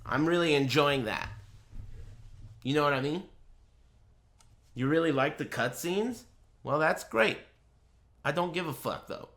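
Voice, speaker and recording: A man gives casual live commentary while gaming. His voice is bright and slightly high, and he takes his time. He is offhand, sardonic and swears freely. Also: animated, unhurried, pauses often. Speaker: game streamer.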